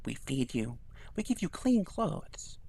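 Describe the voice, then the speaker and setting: A man's voice: on the high side, big pitch swings, slow, creaky, mellow, breathy edge, exaggerated voice. A man doing a stern scolding priestess. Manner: cold, reproachful. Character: stern, scolding woman priestess, self-righteous.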